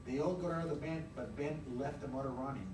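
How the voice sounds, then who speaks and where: High-voiced male teacher in a noisy, echoing room. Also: fast talker, distant mic. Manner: expressive, emphatic, encouraging with pupils. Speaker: elementary teacher reading a storybook aloud to a class. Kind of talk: interactive read-aloud, storytelling and questioning children. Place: indoor classroom. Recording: roomy, noisy classroom, recorded from afar.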